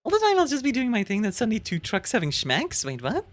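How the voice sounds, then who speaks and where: Lively giggly woman, British-leaning English. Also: fairly high pitch, fast, faint music behind. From Belgium. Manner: bubbly, giggly, chatty with frequent fillers. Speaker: streamer. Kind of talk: casual chat reading live chat.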